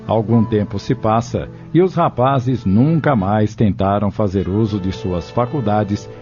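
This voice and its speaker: A dull recording with soft music behind a Brazilian man narrating an audio novel. His voice is dark and lowish, and he tells the story in a formal, literary way. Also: measured pace.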